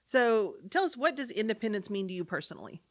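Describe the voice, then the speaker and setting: A woman hosts the conversation at an ordinary middle pitch. She moves the talk along with clear, direct questions. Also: sing-song, quick pace. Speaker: host. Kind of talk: interview questions.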